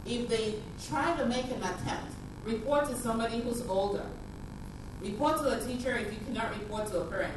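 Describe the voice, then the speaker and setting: A woman's voice: on the high side, far from the mic, background noise. Earnest woman from Nigeria in an echoey room. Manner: earnest, urging, repeats key phrases. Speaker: speaker. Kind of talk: public talk. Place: conference room. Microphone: handheld mic.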